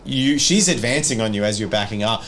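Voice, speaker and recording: A man running a tabletop horror game, narrating casually in graphic, halting descriptions and explaining rules. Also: lowish voice, animated, crowd noise. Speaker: game master.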